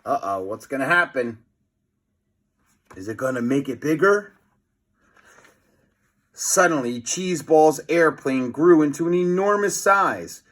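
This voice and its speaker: Animated man reading a storybook, unhurried. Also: fairly high pitch. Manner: theatrical, teasing asides to young listeners. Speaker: storybook reader. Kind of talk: animated children's read-aloud narration.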